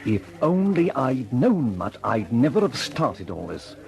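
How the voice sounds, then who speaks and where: An actor playing a mild, hesitant, polite gentleman inventor in an old-time radio drama. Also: mid-range voice, very animated, a little slow, creaky. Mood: downcast. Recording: old radio recording, crowd chatter behind.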